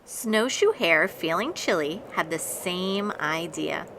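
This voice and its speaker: A woman reading a children's picture book aloud in an expressive, deliberate storytelling manner. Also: on the low side, slight background noise, low-quality recording. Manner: deliberate, dramatic storytelling with acted voices. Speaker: storybook reader.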